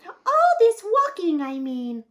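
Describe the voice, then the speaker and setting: A woman voicing a chipper, kindhearted young female sheep in an expressive picture-book reading. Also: very high-pitched, slow, pitched above the performer's own voice, heavily acted. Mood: curious, chatty. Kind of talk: picture book read-aloud.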